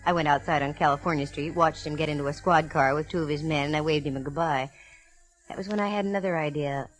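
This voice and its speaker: An actress plays a self-assured American woman detective from a 1940s radio mystery. Her voice sits low for a woman. She is wry and breezy, delivering hardboiled narration and snappy banter. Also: fairly even intonation, quick pace, soft background music, natural voice, low-quality recording. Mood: wry storytelling. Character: wisecracking, self-assured female private eye telling her case.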